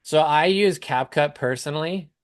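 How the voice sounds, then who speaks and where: A man's voice: on the high side, normal speed, dry recording, studio quality. A man talking casually as a coach on a live call, explaining things step by step with examples. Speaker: business coach hosting a client call.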